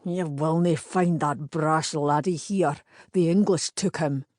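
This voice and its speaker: A woman reading aloud plays a wounded Scottish knight, low and dark-toned, wary and snarling. The accent is Scottish, the manner suspicious and curt, and the sound is dry and close. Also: steady tone, slow, no echo. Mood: grim. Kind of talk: audiobook character voice.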